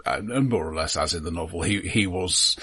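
A man's voice: low voice, fairly quick, pushed and bright, breathy edge, no echo. A man co-hosting a casual film discussion, talking analytically with dryly wry asides. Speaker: podcast co-host reviewing films.

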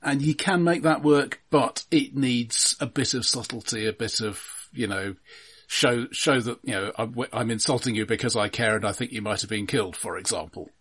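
Husky-voiced man, wry and measured. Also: medium pitch, fairly bright tone. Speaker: film podcast co-host. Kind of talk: casual film review chat.

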